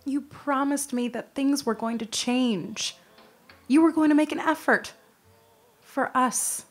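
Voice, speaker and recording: An actress playing a weary, practical girlfriend in a period audio drama, speaking with measured, earnest reproach. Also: high voice, faint music behind. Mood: hesitant, hurt. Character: weary, practical young girlfriend losing faith in a dreamer.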